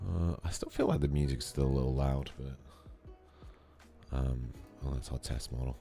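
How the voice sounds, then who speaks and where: A man with an extremely low voice, slightly hoarse from a cold, talks through his work in an easy, rambling way. He fills pauses with ums and uhs as he goes. Faint music plays behind him as he speaks into a desk mic. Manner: relaxed, thinking aloud with many fillers. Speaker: 3D artist streaming a modeling session. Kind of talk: casual live tutorial. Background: faint background music.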